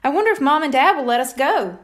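A woman's voice: high voice, lively intonation, fast talker. A woman voicing a tidy, sensible young boy who teases, with a matter-of-fact manner, in a children's storybook.

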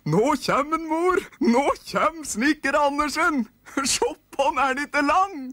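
Shrill eager gnome children. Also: male voice, animated, pushed and bright, dry recording, clean studio sound, heavily acted. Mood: excited. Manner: shrieking, clamoring.

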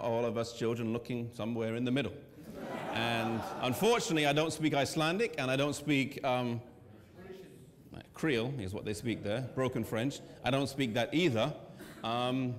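A man in his thirties with an English accent, preaching in a conversational way with pauses and fillers. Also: mid-range voice. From England. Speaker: pastor. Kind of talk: sermon presentation. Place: church hall with a seated audience. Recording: long church hall reverb.

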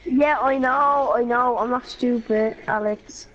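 Brief: young gamer, high voice, dull lo-fi sound, snappy comebacks. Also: female voice, fairly even intonation, fairly bright tone. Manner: argumentative, quick retorts. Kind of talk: casual banter while gaming.

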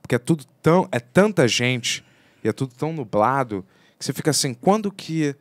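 A man with a Brazilian accent hosting a casual podcast chat, halting and rephrasing his questions as he goes. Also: medium pitch, big pitch swings, no room sound. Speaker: podcast host. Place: indoor podcast studio. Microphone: studio microphone on arm.

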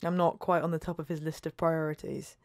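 An actress with a British accent playing a downtrodden, hesitant call-centre worker, halting and informal, in an audio drama. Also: female voice, deep voice, level tone, darkish tone, no echo, clean studio sound. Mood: wistful, embarrassed.

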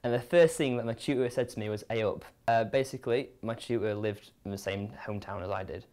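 A man's voice: lowish voice, fast talker, darkish tone. A young man with a northern English accent, an engineering student chatting casually in an interview. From Sheffield, England. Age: young adult.

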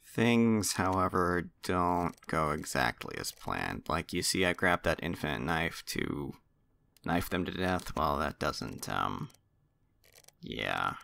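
A man's voice: extremely low pitch, very animated, slow pace, gravelly, bright, forceful tone. A man giving casual gameplay commentary in a dry, wry, understated manner. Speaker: game player commentator.

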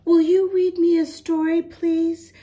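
Woman voicing an independent little storybook girl, high-pitched. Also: flat delivery, unhurried, warm tone. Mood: pleading. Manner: simple childlike declarations and pleas. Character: very big little girl, independent, needing comfort at bedtime.

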